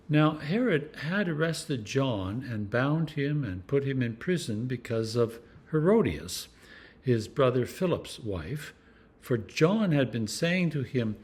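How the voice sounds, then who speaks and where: A man reading scripture aloud as a clergyman, in an expressive, measured storytelling manner. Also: medium pitch. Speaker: clergyman reading scripture for a church meditation series.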